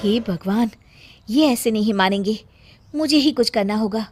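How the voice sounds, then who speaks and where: A woman voicing an earnest, sharp-minded young daughter-in-law in an animated story. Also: on the high side, a little slow. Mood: worried, scheming. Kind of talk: animated Hindi moral story dialogue.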